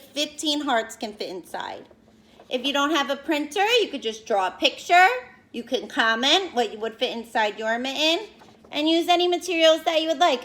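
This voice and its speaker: A woman with a high-pitched voice speaks as a teacher to young children. She takes her time, with an unhurried, expressive storytelling delivery. Her phrasing is simple and direct, made for little listeners. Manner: explains words and addresses children directly. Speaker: elementary school teacher reading aloud to pupils. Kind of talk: expressive children's read-aloud and lesson talk.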